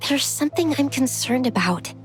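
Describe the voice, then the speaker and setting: A young, inquisitive scholar in a fantasy game. The voice is on the high side, polite and pensive, as if weighing each thought carefully. Music runs underneath. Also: female voice, animated, moderate pace. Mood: pensive, concerned. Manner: pensive, earnest. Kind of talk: video game voice acting.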